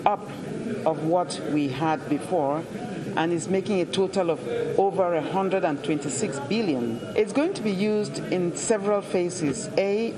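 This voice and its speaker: A man's voice: sits high, poor audio. A man with a Cameroonian accent giving formal explanations as an official interviewed for the news. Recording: crowd murmur behind the voice.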